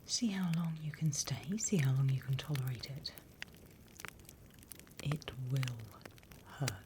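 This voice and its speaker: A British woman voicing a prim demon teacher in a soft, deliberate whispered ASMR roleplay. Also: extremely low pitch, background noise. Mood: patient, instructive. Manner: soft, deliberate, instructional, with pauses. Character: female demon teacher, softly menacing, encouraging, prim.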